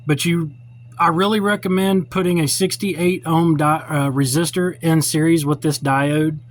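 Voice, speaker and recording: A man's voice: fairly high pitch, fairly even intonation, moderate pace. A man giving an informal hands-on technical walkthrough as a tutorial presenter, talking in a folksy, blunt and opinionated way. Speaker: presenter of a radio repair tutorial.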